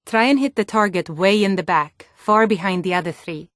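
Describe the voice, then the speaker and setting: A woman's voice: medium pitch, normal speed, dry recording, lightly acted. Actress as a guarded woman archer, dry close sound. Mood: composed, coaching. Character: guarded, self-reliant young woman archer living in the wilds. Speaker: archery instructor. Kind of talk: video game character dialogue.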